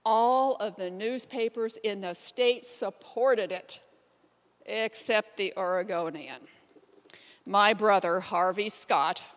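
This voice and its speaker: A woman playing a determined, plain-spoken pioneer suffragist, telling her life story in measured readers theater style. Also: medium pitch, natural voice. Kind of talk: readers theater first-person monologue. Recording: reverberant hall sound.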